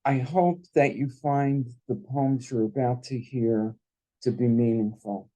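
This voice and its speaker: Man, mellow tone, unhurried and solemn, reading family poetry aloud. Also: mid-range voice, fairly even intonation, low-quality recording. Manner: solemn, deliberate. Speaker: son of the poet, introducing and reading. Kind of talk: slow, measured poetry reading.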